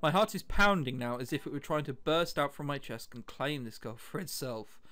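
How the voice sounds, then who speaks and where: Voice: medium pitch, expressive, moderate pace, fairly bright tone. A let's-player of unclear sex giving casual commentary and reading a visual novel's text aloud, with wry asides. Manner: self-interrupting, wry joking asides. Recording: music behind the voice. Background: game music.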